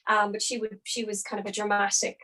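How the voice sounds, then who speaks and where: A woman's voice: medium pitch, monotone, fairly quick, sharp tone. A young woman, an opera singer, chatting as a podcast guest and explaining things with lots of hedging fillers. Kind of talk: casual interview chat.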